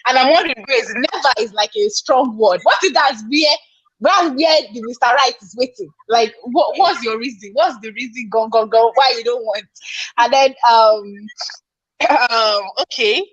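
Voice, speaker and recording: Husky Nigerian woman hosting a chat. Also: a little high, moderate pace, no echo, highs cut off. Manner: chatty, reads out viewer comments, exclaims. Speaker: podcast host. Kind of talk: casual live podcast chat.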